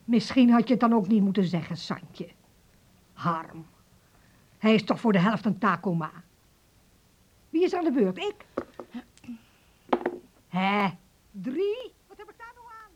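A woman's voice: medium pitch, very animated, unhurried, pauses often, lo-fi sound, slight background noise. An actress playing a chatty, fussy, playful woman in a Dutch radio play, chirpy and gushing in manner. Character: chatty, playful, fussy female family guest.

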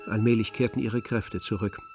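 A man giving scripted literary narration in a radio drama, in a measured, composed manner. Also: on the low side, steady tone, a little mellow, soft background music.

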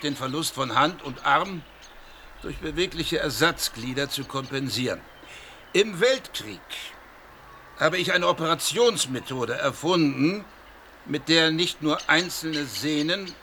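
A man's voice: a little high, sharp tone, slight background noise. An actor playing a proud, self-assured older surgeon who lectures his guests in a radio play.

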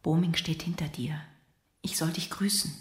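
An actress playing an affectionate, loyal visitor in her thirties in a radio play, soft, conversational and gently teasing. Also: low voice, steady tone, moderate pace, echoey room, heavy background noise, natural voice. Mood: warm, wistful. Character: affectionate pregnant woman historian, loyal visitor. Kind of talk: naturalistic German radio play acting.

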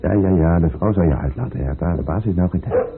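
An actor playing a busy, matter-of-fact but fond working husband in a naturalistic radio play, speaking casually and familiarly. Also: male voice, deep voice, fast talker, heavy background noise. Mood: hurried, fond. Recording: muffled old radio play recording.

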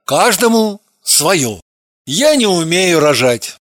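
A man playing an easygoing, teasing, sometimes puzzled man in an audiobook, with an excitable manner. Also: high voice, slow, no room sound, clean studio sound, heavily acted. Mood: amused. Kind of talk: audiobook character acting.

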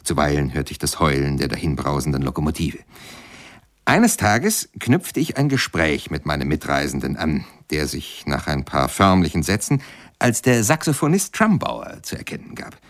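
A man's voice: a bass voice, big pitch swings, normal speed, full character voice. An actor playing a traveller who narrates his memories in a formal, ornate way in a literary radio play. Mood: measured, wry. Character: male narrator, a traveller recalling jazz years.

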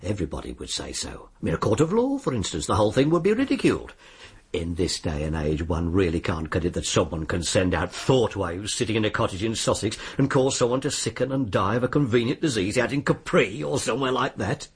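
An actor playing a suave, sly disbarred lawyer in a radio drama, with a British accent and an insinuating, politely formal way of talking. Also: male voice, fairly low pitch, sing-song, fairly quick, slightly rough, full character voice. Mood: smoothly persuasive. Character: suave, sly disbarred lawyer arranging sinister bets on deaths.

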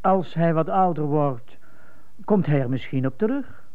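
Polite male piano teacher, higher voice, formal, conciliatory, radio drama, tinny narrow sound. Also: animated, measured pace, smooth. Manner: formal, soothing. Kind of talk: radio play acting. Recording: thin phone-like radio recording.

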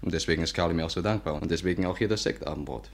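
Low-voiced, persuasive gentleman sleuth. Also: very fast. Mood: confiding. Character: smooth, persuasive male amateur sleuth coaxing his sweetheart. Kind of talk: 1950s German radio drama acting. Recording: 1950s radio recording, music behind.

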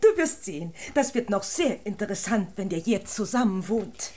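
A woman's voice: medium pitch, animated, moderate pace, a little mellow, lightly acted. A woman playing a haughty, easily offended fairy-tale princess in a children's radio drama, theatrical and pompous. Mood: condescending.

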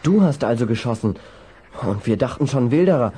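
A male actor playing a breezy, confident teenage boy rider in a children's audio drama, easygoing and brisk. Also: medium pitch, lively intonation, warm tone, breathy edge. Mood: curious. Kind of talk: 1970s German children's audio drama acting. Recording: noisy 1970s vinyl rip.

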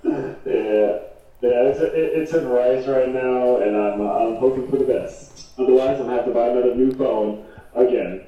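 A man's voice: medium pitch, moderate pace. A man in his twenties from the United States, chatting casually and slangily, recorded muffled and echoey from a distance. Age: 20s. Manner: slangy, mild swearing, says "bro" a lot. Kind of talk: casual chat. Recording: muffled, echoey, far from the mic.

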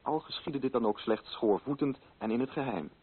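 An actor playing a sober literary narrator, telling a story in scripted radio drama style. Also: male voice, medium pitch, normal speed, husky, natural voice. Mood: sober, solemn. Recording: muffled old radio recording.

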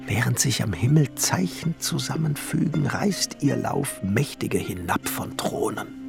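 An actor playing a proud, brooding seventeenth-century alchemist and glassmaker in a poetic radio play, solemn and halting, often sinking towards a whisper. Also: male voice, medium pitch, lively intonation, creaky, music in the background. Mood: ominous, musing.

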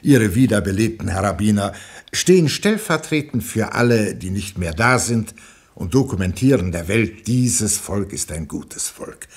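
An actor playing a pompous, evasive government minister in a German radio play, speechifying in a husky voice with rhetorical flourishes. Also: male voice, lowish voice, animated, unhurried, heavily acted. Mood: grandiose.

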